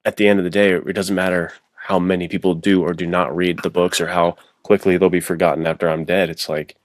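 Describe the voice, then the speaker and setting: A man's voice: deep voice, flat delivery, a little fast, no echo. A man chatting casually and reflectively as a podcast guest, informal and earnest, thinking aloud in long runs. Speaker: podcast guest, adventure novelist.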